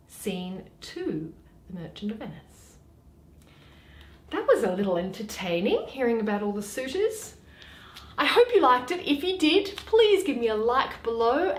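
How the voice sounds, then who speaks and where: A woman hosting a reading channel, speaking directly to her listeners in a friendly, informal way. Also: mid-range voice. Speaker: channel host and play reader. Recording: roomy, echoey home recording.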